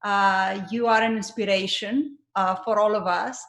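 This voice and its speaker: Woman, 50s, measured, hesitant, mid pitch, echoey room, video call. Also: steady tone, fairly bright tone. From Greece. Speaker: oncology professor and panelist. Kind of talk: self-introduction on a panel.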